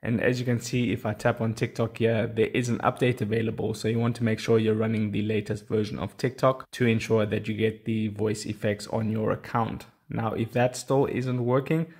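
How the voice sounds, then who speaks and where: A man's voice: on the low side, level tone, normal speed, hardly any pauses, a little mellow, breathy edge. A man narrating an instructional how-to tutorial, explaining plainly step by step. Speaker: tutorial host.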